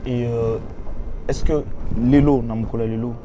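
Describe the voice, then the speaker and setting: A man's voice: mid-range voice, steady tone, a little slow, music in the background. A man hosting an interview show, speaking formally with frequent hesitations and fillers. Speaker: talk show host.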